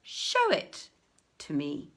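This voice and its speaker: A woman's voice: drawn-out words, fairly bright tone. A woman voicing a curious, imperious yet generous young prince in a declamatory, archaic storybook reading. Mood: commanding, insistent. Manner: declamatory, emphatic.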